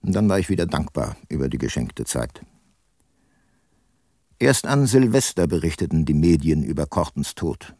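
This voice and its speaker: An actor playing an aging detective who narrates his guilt in a measured, introspective radio drama voice. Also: rumbling low, very animated, warm tone, dry recording, clean studio sound, heavily acted. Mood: brooding. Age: older.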